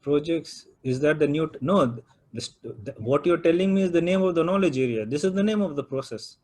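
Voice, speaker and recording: A man's voice: on the high side, animated, a little fast, darkish tone. A man whose first language is Urdu, teaching a project management class and prodding students with questions.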